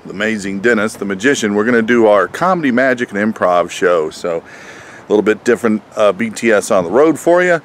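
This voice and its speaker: A man's voice: on the low side, animated, fairly quick. A man talking casually and chattily to viewers as host of his own comedy road vlog. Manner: chatty, addresses viewers as folks. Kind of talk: casual vlog talk to camera. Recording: noisy outdoor and vehicle background.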